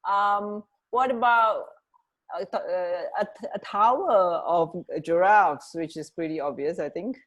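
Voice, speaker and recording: Lower-voiced woman chatting casually. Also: unhurried, a bit bright. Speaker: radio co-host. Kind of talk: casual chat about fun facts.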